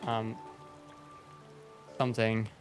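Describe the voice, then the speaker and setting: A man's voice: lowish voice, completely flat delivery, drawn-out words, music in the background. Belgian man streaming, rambly and sweary. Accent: Belgian Flemish. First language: Dutch. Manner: rambling, swears a lot, self-deprecating, frequent restarts. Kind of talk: casual gaming livestream chat.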